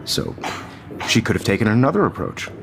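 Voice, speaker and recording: A man's voice: lowish voice, very animated, a little fast, vocal fry, a bit bright, faint music behind, heavily acted. A man playing a hip, self-assured photography teacher in a video game, lecturing in a glib, theatrical way. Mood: provocative, assured.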